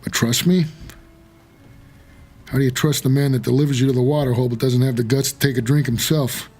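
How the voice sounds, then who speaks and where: An actor playing a doomed, haunted taxi driver for the dead, narrating an audio drama in a world-weary, measured noir manner. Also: male voice, mid-range voice, creaky, darkish tone, breathy edge.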